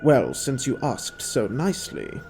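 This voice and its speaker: A man plays a menacing villain who toys coolly with his captive in an audio drama. His delivery is lofty and formal, swinging widely in pitch at an unhurried pace, with a mellow tone. Music runs beneath him. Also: wildly swooping pitch, vocal fry, exaggerated voice, rough recording. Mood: scornful, gloating.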